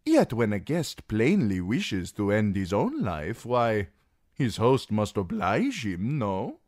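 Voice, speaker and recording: A male narrator voicing a fat, jovial merchant magister who needles his guest in a genial, mocking, drawn-out way. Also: on the low side, wildly swooping pitch, a little mellow, no room sound, clean studio sound, pitched above the performer's own voice, full character voice. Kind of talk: audiobook character reading.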